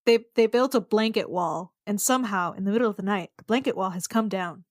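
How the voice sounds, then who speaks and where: Woman player in a tabletop game, medium pitch, dry sound, casual funny storytelling. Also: fairly quick, no echo. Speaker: player in a tabletop roleplaying podcast.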